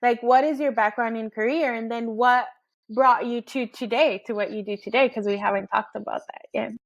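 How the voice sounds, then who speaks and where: Curious woman host, higher voice. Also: steady tone, normal speed. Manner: curious, asks rambling follow-up questions. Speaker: podcast host. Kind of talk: conversational interview.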